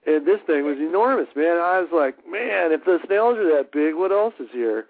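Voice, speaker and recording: A man guesting on a radio show, talking casually and haltingly with many fillers. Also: on the high side, expressive, no room sound. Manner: halting, warm-hearted, many fillers and restarts. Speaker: musician guest. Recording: dry, tinny phone line.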